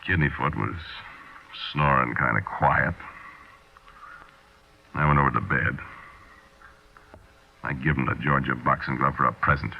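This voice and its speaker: A man's voice: extremely low pitch, steady tone, unhurried, vocal fry, long pauses, full character voice. An actor with an American accent playing a cold-blooded small-time crook, telling his story in tough, confiding slang in 1940s radio drama style. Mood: matter-of-fact, confiding. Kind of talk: 1940s radio drama first-person narration. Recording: muffled 1940s radio recording.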